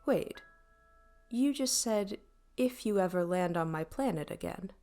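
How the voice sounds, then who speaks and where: A woman's voice: medium pitch, expressive, a little slow, warm tone, soft background music. A woman playing an apologetic, inquisitive starship mind in an audio drama, speaking conversationally with small hesitations. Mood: apologetic, concerned. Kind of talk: audio drama dialogue and log entries.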